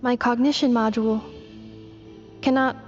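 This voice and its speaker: A woman voicing a gentle, earnest female robot in a video game, precise in wording and a little hesitant. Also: a little high, steady tone, moderate pace, close to an everyday voice. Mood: troubled, resolute. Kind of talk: scripted video game dialogue. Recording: dull muffled audio, long hall reverb. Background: game music.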